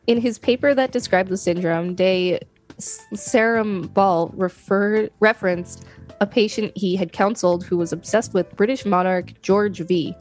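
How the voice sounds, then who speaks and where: Woman reading aloud for a podcast, mid-pitch, steady, stumbles on a name now and then, faint music behind. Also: unhurried. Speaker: podcast co-host reading an article. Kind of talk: casual read-aloud.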